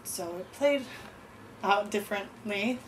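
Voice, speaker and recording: A woman's voice: medium pitch, a little slow. A woman, born in Korea and raised in Chicago, speaking in a thoughtful, earnest way in a casual conversation. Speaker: visitor in conversation. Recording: distant mic with clear background noise.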